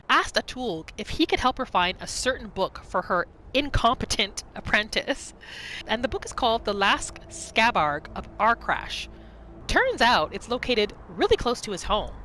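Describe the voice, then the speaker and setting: A woman's voice: a little high, bright, forceful tone, slight background noise, low-quality recording. A woman hosting a gaming video, narrating her roleplay playthrough in a casual, chatty storytelling way. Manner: chatty, informal, storytelling in third person.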